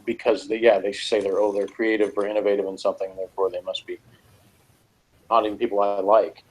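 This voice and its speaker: A man with a fairly low voice in casual conversation. He rambles a little and hesitates as he works through his thoughts, like a co-host on a discussion show. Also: level tone, brisk, darkish tone, slightly rough, poor audio. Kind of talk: casual discussion of TV and personality types.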